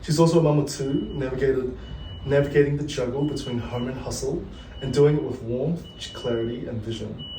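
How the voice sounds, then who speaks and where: A man's voice: medium pitch, steady tone, a little fast, dark tone, roomy sound, far from the mic, a little hiss or hum, rough recording. A man with a New Zealand accent hosting a casual podcast interview, joking easily and mixing Māori words into his talk. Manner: laid-back, joking, many fillers, mixes in Māori words. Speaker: podcast host.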